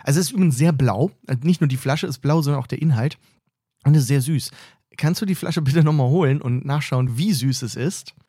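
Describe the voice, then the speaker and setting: A man's voice: on the high side, brisk, mellow, husky, dry recording, clean studio sound. A man co-hosting a casual podcast from a training camp, teasing and joking with his co-host.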